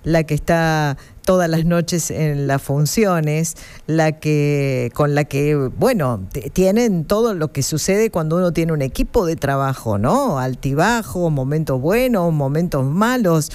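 A male interviewer with a smooth, resonant voice that sits a little high. He talks conversationally and keeps the guest going with short, attentive affirmations. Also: lively intonation, unhurried, few pauses, darkish tone.

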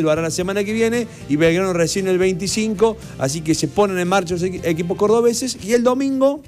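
Brisk male sports reporter. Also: a little high, darkish tone. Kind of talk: sports news report and studio chat.

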